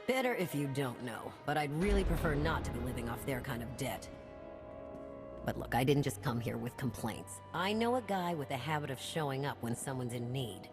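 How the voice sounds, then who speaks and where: A low, husky-edged woman's voice speaks plainly and with a wary edge. a streetwise smuggler broker in a game cutscene, with music underneath. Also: expressive, fairly quick. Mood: frank. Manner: frank, casual and wary. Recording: game score behind the voice.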